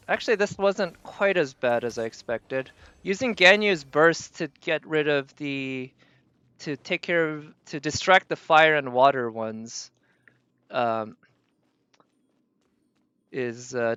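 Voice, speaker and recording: A man's voice: fairly high pitch, bright, forceful tone, smooth, no room sound, muffled recording. A man doing casual live game commentary, thinking aloud and trailing off as he goes. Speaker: game streamer.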